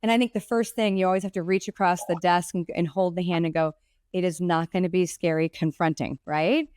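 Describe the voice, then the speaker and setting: A woman's voice: on the low side, fairly even intonation, a little fast, darkish tone, clean tone, no room sound, clean studio sound. A middle-aged woman hosting an interview podcast. She talks in a chatty, affirming way and thinks aloud as she goes. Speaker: podcast host and clinic owner.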